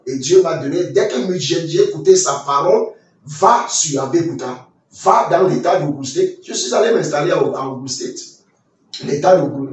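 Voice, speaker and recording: A man from Nigeria delivers a Sunday school lesson like a sermon, with a fairly high voice. He picks his words with many repeats and restarts, slowing down for scripture. He sounds heavily echoey and set back from the mic. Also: recorded from a distance. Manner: repeats phrases and restarts, quotes scripture slowly. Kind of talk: sermon-style Bible lesson with personal testimony.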